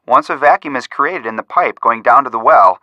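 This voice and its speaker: A man's voice: mid-range voice, fairly quick, pushed and bright, no echo, muffled recording. A young man with an American accent voicing an upbeat amateur detective who gives wry, helpful hints in a video game. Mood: helpful, encouraging. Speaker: detective helper giving hints. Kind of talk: scripted video game voice acting.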